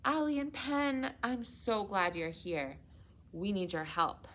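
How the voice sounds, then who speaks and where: A woman voicing an earnest, excitable young superhero girl in a children's storybook, eager and slightly anxious. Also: medium pitch, slow, pitched above the performer's own voice. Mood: frantic.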